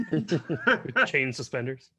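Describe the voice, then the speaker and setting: Joking man with a medium-pitched voice. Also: big pitch swings, drawn-out words, pushed and bright, breathy edge. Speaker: panelist in a group comic reading. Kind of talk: casual joking commentary.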